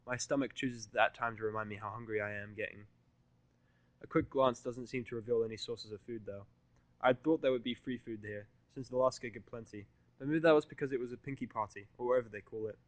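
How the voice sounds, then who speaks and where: A man's voice: medium pitch, steady tone, fairly quick, no echo. A man narrating a scripted first-person story as an audio fiction reader.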